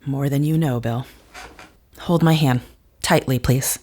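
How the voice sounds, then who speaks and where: A woman's voice: rumbling low, measured pace, darkish tone. A woman playing a commanding, secretive character in an audio drama, speaking in terse, formal commands with hypnotic intensity. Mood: insistent.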